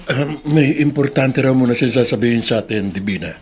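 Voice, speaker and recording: A man's voice: medium pitch, a little fast, darkish tone, lightly acted. A man playing a wealthy, polite but worried husband and father in a radio drama. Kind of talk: Filipino radio drama acting.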